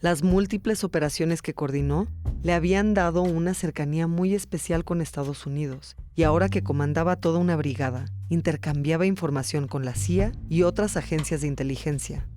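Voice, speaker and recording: Guy narrating a documentary script, voice a bit high, music behind him. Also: fairly quick, darkish tone.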